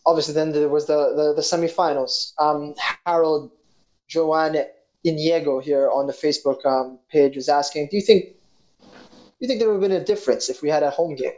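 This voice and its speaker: A man's voice: fairly high pitch, fairly quick, poor audio. Man hosting a sports chat. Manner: relaxed, reads listener questions. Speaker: podcast host. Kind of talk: casual interview.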